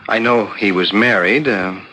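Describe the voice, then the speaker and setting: An actor playing a polite, puzzled, halting writing instructor in a 1970s radio drama. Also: male voice, lowish voice, sharp tone. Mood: puzzled, guarded. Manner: halting, courteous. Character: polite, hesitant, puzzled correspondence school instructor. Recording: noisy vintage radio broadcast.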